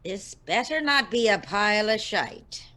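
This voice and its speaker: A woman's voice: mid-range voice, sing-song, unhurried, bright, forceful tone. A woman playing a grumpy Irish singing star with a blunt, scathing, sarcastic manner. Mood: scornful, bored.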